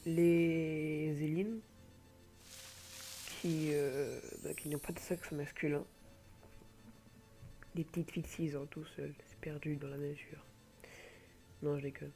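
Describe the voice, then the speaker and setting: A man's voice: on the high side, level tone, slow, gravelly, pauses often, dark tone, faint music behind. Hesitant man with a cold. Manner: hesitant, rambling, full of filler sounds. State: has a cold. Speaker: gamer presenting an online game. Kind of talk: casual gameplay commentary. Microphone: home computer mic.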